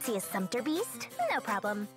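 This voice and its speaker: A woman voicing a cheerful, greedy young girl merchant with a bubbly sales pitch in a game trailer. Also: expressive, moderate pace, natural voice. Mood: perky, selling. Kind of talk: game trailer character acting. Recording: loud music behind the voice.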